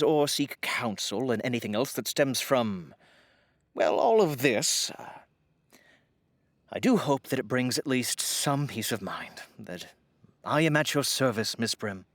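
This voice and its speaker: An actor playing a courteous, earnest estate lawyer in an audio drama, formal, halting and florid. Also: male voice, medium pitch, sing-song, no room sound. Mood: earnest, solemn.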